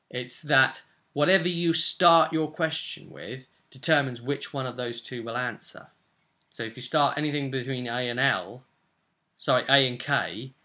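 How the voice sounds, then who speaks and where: A young British man chatting casually and emphatically to camera as a game master, rambling in an animated way. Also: mid-range voice. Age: young adult. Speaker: tabletop role-playing game master and vlogger. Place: small furnished room at home, indoors. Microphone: camera or webcam at desk distance.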